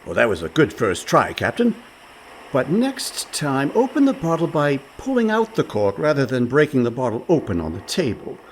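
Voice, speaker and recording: An actor playing a quick-witted, placating con man who poses as a pirate in a comedic radio play. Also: medium pitch, wildly swooping pitch, a little hiss or hum. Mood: patient. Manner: wry, placating, articulate.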